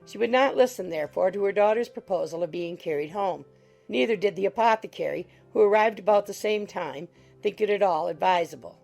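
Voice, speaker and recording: A woman's voice: mid-range voice, a little fast, creaky. A woman narrating a classic novel as an audiobook in a scripted literary reading style. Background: soft music.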